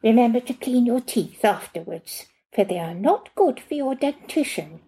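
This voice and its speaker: A woman's voice: fairly high pitch, lively intonation, normal speed, mellow, natural voice. A woman doing a prim, formal housekeeper. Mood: lecturing. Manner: formal, stilted, slightly nonnative phrasing.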